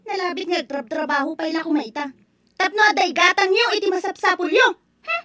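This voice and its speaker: A woman playing a blunt, resentful young mother complaining sharp-tongued to her parent in an Ilocano drama. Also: very high-pitched, brisk, distant mic. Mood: scornful. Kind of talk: Ilocano radio-style drama acting.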